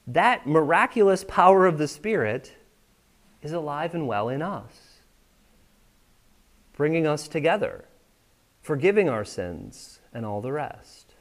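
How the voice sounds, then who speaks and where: Lively, conversational male preacher. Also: on the high side, a little slow, long pauses. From United States. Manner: conversational, checks in with listeners often. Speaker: pastor. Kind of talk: sermon. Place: church sanctuary.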